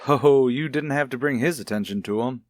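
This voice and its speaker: An actor playing a kindly child psychologist in a mid-century radio soap opera, speaking in a measured, coaxing way. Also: male voice, mid-range voice, no echo, clean studio sound, natural voice. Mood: genial. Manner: measured, coaxing, gently probing. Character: kindly, probing male child psychologist, a father. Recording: vintage radio recording with music.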